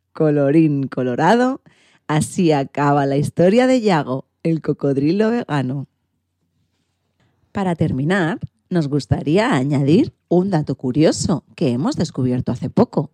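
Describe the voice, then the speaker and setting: Low, mellow woman radio narrator. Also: no room sound, studio quality. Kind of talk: scripted narration for a children's programme.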